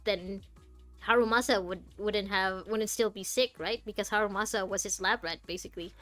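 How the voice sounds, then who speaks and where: A woman's voice: medium pitch, fairly even intonation, moderate pace, fairly bright tone. Wry woman streamer over game music. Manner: wry, opinionated, hesitant thinking aloud. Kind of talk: casual live playthrough commentary. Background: game dialogue and music.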